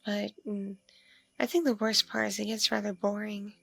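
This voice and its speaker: A woman playing a mature, flirtatious, spacey female vampire in an ASMR roleplay, speaking softly and hesitantly, as if in a one-sided intimate conversation. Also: mid-range voice, flat delivery, creaky, faint music behind. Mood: relaxed, self-deprecating. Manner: hesitant, murmuring, lingering pauses with ums and mms. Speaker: roleplay voice performer.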